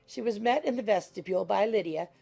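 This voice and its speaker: A woman's voice: medium pitch, quick pace, vocal fry, dark tone, breathy edge. A woman reading scripted literary narration as an audiobook narrator.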